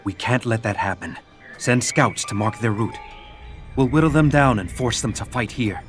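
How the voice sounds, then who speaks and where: A man plays a young militia captain in a game cutscene, medium-pitched, earnest and defiant. He speaks with resolve over faint music. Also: normal speed, close to an everyday voice. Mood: determined. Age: young adult. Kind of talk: video game cutscene acting.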